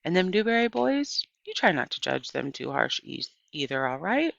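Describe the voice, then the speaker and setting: A woman voicing a kind, plainspoken old woman in a storybook, with a folksy, unhurried, gently instructive manner. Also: lowish voice, sing-song, creaky, highs cut off, heavily acted. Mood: firm, kindly.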